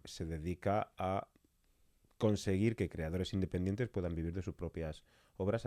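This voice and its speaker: Deep, mellow-voiced man from a podcast interview. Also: no room sound, studio quality. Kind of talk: conversational interview with long reflective questions.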